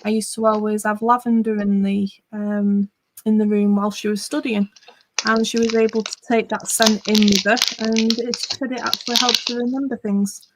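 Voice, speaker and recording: A woman's voice: fairly high pitch, level tone, normal speed, noisy background. A middle-aged woman with a northwest English accent, chatting casually on a livestream and rambling with lots of fillers. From northwest England. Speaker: livestream host. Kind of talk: casual chat.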